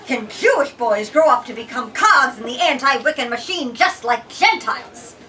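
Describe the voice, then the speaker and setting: Lady doing a sardonic storybook uncle, mocking, on the high side, bit away from mic. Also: expressive, normal speed, bright, forceful tone, distant mic. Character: mocking, cynical radical uncle.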